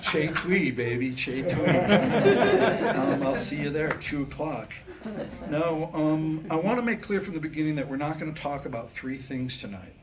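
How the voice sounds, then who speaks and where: A man reading a scripted comic monologue at a live staged reading, with a wry, mock-scholarly delivery and deliberate phrasing. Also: a little high, very animated. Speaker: writer presenting his new humorous script. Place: live staged reading before a small audience. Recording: clearly noisy live room recording.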